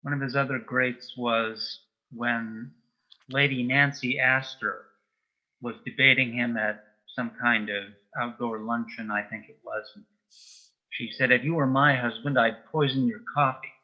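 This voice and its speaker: A man speaking as an interview guest in casual chat, telling jokes and anecdotes in a dry, measured way. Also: medium pitch, steady tone, highs cut off.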